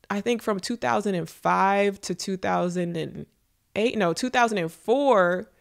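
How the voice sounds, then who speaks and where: Congested American woman podcasting casually. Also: on the low side. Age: late 20s to early 30s. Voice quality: congested, nasal. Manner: chatty, conversational, addressing listeners directly. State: congested with a runny nose. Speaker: podcast host. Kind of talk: casual solo podcast monologue. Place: small indoor room with shelving. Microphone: close studio podcast microphone with headphones.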